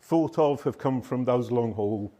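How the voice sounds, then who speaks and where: A middle-aged man tells stories on stage, loud and lively, and often pokes fun at himself. His voice sits at an average pitch for a man. He speaks into a handheld microphone in a conference hall. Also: unhurried. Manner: loud, animated, self-deprecating storytelling. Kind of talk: live conference talk.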